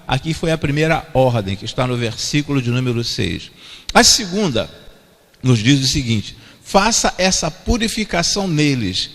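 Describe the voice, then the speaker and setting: A Brazilian man preaching in a church, his fairly high voice gravelly with fry. He repeats each point twice, driving it home. Long reverb from the hall trails behind his words. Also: fairly bright tone. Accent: Brazilian Portuguese. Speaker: pastor. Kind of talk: expository sermon. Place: church sanctuary.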